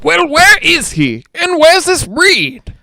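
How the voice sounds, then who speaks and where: A man's voice: very high voice, wildly swooping pitch, slow pace, gravelly, noisy background, exaggerated voice. A man playing a grumpy, anxious, penny-pinching property owner in a 1940s-style radio drama, curt and suspicious. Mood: uneasy.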